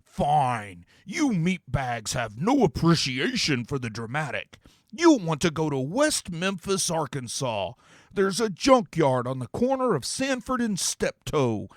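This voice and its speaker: A man's voice: fairly high pitch, huge pitch swings, a little slow, no echo, studio quality, pitched above the performer's own voice. A man doing a grandiose, scornful red demon. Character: theatrical greedy male demon, scaly red.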